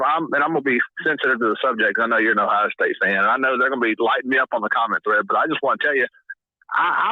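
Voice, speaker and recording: A man's voice: mid-range voice, dry recording. A man from Mississippi calling in to a sports talk show, rambling with many restarts and fillers. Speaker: caller. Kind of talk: sports call-in commentary. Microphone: phone. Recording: tinny phone line.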